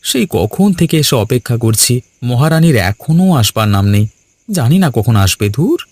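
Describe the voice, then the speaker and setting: A man's voice: mid-range voice, sing-song, moderate pace, darkish tone, slight background noise. A man playing a brash, quarrelsome young villager in a cartoon, talking bluntly and goading in colloquial speech. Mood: teasing. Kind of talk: Bengali cartoon dialogue.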